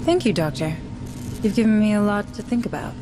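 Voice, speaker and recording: A principled corporate administrator, played by an actress in a sci-fi game. She is earnest and insistent, quick to challenge orders. A clear rumble runs behind her voice. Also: expressive, lightly acted. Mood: polite, guarded. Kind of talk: video game voice acting.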